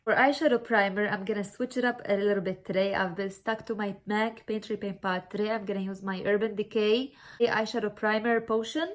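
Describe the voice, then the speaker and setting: A woman's voice: mid-range voice, steady tone, a little fast. Young female beauty vlogger, Syrian Arabic accent, mid-range voice, informal tutorial chat with emphatic repetition. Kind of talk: casual makeup tutorial chat.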